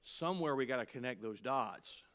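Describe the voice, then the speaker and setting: Fifties male pastor, emphatic pulpit delivery, climbs high on key points, quick asides. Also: animated, fairly bright tone. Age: 50s. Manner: emphatic preaching with rising, punchy peaks and quick asides. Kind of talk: sermon to pastors.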